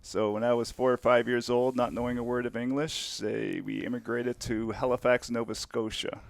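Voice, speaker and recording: Gravelly middle-aged man from the Netherlands. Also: mid-range voice, flat delivery, normal speed, dry recording. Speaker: podcast guest. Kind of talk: casual interview storytelling.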